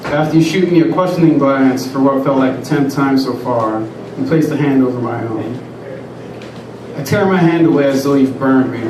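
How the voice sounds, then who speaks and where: A man reading his own first-person prose aloud at a live reading, in a quiet, measured way. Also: medium pitch. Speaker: student reader. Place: large room at a live reading. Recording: echoey room, far from the mic, noisy.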